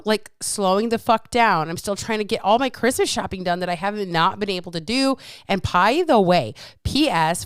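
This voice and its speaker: Congested woman on a solo fitness podcast, swears a lot, smooth clear voice, dry close sound. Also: mid-range voice, no echo. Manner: blunt, profane, ranting. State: congested with a cough. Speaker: podcast host and fitness coach. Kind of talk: casual solo podcast rant.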